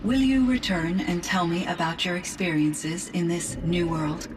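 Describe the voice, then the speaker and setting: A woman playing a gentle, curious artificial intelligence in a video game, speaking in measured, precise, formal phrases. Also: fairly low pitch, far from the mic, noisy background, close to an everyday voice. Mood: thoughtful, earnest. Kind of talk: scripted video game dialogue.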